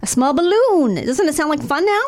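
A woman's voice: sits high, brisk. Wry, swearing woman reading medical notes. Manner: wry, profane, deadpan asides while reading. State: recovering from nose and throat surgery. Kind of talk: casual chat, reading medical info aloud.

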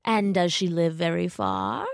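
A woman voicing a sly, smooth-talking male wolf in a read-aloud storybook, drawing out his words slowly and coaxingly. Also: fairly low pitch, sing-song, dry recording, studio quality, exaggerated voice. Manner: slow, coaxing delivery.

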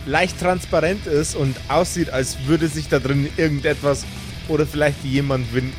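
A man acts as game master, narrating an improvised tabletop adventure. His voice sits a little higher than average for a man. Guitar music plays clearly behind him. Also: steady tone, moderate pace.

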